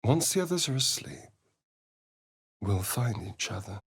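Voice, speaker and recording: Suave vampire rogue for a video game, slow arch drawl, pitch swoops all over, super dry close sound. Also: male voice, big pitch swings, vocal fry, slightly rough, no room sound, full character voice. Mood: arch, teasing. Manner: arch, drawling, theatrical, dramatic pauses. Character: suave, theatrical male vampire rogue, sardonic and preening. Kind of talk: video game character voice acting.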